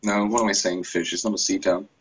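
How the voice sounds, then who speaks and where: Male player, low voice, rambling, self-correcting. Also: level tone, fast, a bit bright, breathy edge, poor audio. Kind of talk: casual chat during a tabletop game.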